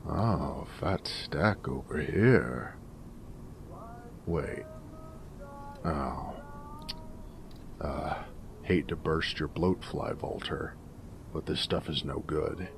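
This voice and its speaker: A very deep, gravelly ghoul played by a man drawls at an unhurried pace. He is a snarky wasteland drifter and former bush pilot, wry and slangy, in a game-style audio roleplay with clear noise behind him. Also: full character voice. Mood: let-down, teasing. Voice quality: gravelly, low rasp. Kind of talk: character roleplay audio monologue in a post-apocalyptic game setting.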